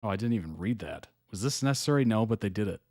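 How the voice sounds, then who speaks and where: A man's voice: lowish voice, expressive, quick pace, darkish tone, no echo. Amused man reading captions, dry sound. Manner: reads on-screen captions aloud, then reacts with amused asides; laughs often between remarks. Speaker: reaction video host. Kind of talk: casual live reaction commentary.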